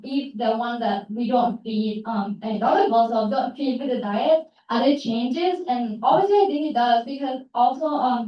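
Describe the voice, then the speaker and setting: A young woman presents her research in a large, very echoey hall, caught from a distance on a dull, muffled recording. Her voice sits a bit high, and she talks haltingly, often pausing to find the right words. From Thailand. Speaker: student presenter. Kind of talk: short research talk and Q&A.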